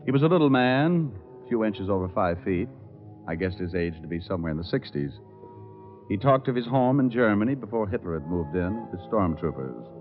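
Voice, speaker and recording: A man's voice: on the low side, animated, brisk, soft background music, lightly acted. An actor playing a cool, courteous male private detective in a vintage hardboiled radio drama. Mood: reflective narrating. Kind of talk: 1940s-50s hardboiled radio drama acting. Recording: muffled, phone-like old radio recording.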